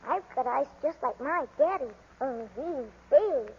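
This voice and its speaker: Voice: high-pitched, expressive, normal speed. A performer playing an eager, polite, curious small boy in 1940s radio drama. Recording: muffled, reverberant old radio, faint hiss.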